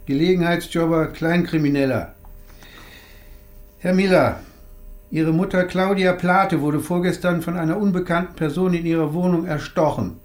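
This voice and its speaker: An actor playing a gruff, sardonic older police detective in a radio crime drama, wry, colloquial and commanding. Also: male voice, on the high side, fairly even intonation, normal speed, natural voice. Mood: dry, probing.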